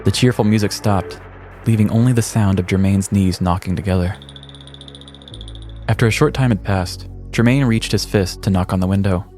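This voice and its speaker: A man's voice: fairly low pitch, normal speed, darkish tone, soft background music. A man podcast host reading a scripted comedic story in a mock-dramatic way.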